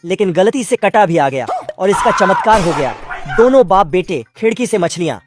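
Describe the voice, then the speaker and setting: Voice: medium pitch, animated, moderate pace. A narrator giving a jokey, colloquial and cheekily crude scripted recap of cartoon clips. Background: prominent background music.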